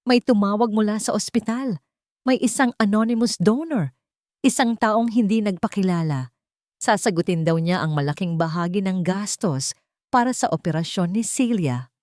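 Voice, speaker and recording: A woman narrator plays a warm young Filipina caregiver in a love story. She speaks tenderly and earnestly, full of hope, in a dry, close sound. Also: medium pitch, moderate pace, dry recording, voice pushed up, natural voice. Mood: excited, grateful.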